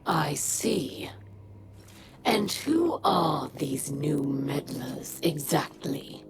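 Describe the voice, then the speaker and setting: A woman's voice: sits low, sing-song, drawn-out words, creaky, heavy background noise, heavily acted. A woman playing a proud, vengeful alien warlord queen in a video game, speaking with formal, menacing, commanding oratory. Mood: scornful. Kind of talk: dramatic video game cutscene acting. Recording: loud music under the voice.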